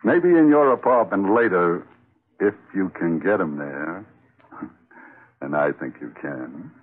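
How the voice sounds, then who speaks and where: Scheming tough, very low and husky, insinuating slang, vintage radio crime serial. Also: male voice, lively intonation, takes it slowly, full character voice. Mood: sly, coaxing. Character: slangy, scheming tough-guy crook, sweet-talking his girl. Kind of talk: 1940s radio crime acting. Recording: muffled narrow-band old radio.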